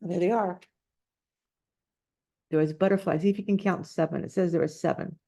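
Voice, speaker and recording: A woman's voice: fairly low pitch, steady tone, moderate pace, dark tone. A woman reading a children's storybook aloud, adding casual, simple explanations and questions for young listeners. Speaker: storybook reader.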